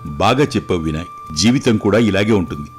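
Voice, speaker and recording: A man playing a calm, wise fatherly king who gives measured, reassuring moral advice in a storybook tale. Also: deep voice, faint music behind. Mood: approving, warm. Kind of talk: scripted moral story dialogue.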